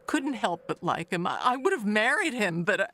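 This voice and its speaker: An actress playing Agnes as a chatty, nervous, wistful middle-aged neighbor in an audio mystery, halting and rambling. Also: female voice, medium pitch, sing-song. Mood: wistful gossip. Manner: halting, rambling, trailing into hushed musing. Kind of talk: dramatic monologue in an audio mystery play.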